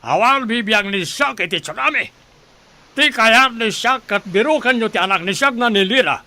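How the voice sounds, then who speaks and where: A man's voice: high voice, animated, moderate pace, bright, forceful tone, exaggerated voice. A man playing a pompous, irascible rich boss in a comic Ilocano radio drama, with an Ilocano accent. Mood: angry, scolding. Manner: refers to himself in third person, blustering.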